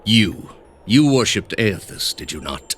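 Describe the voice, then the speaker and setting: An actor playing a grave, zealous older male inquisitor leader in a video game, speaking in a measured, commanding way. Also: fairly low pitch, big pitch swings, a bit bright, slight background noise. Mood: cold, disdainful. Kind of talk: scripted video game dialogue. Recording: music under the voice.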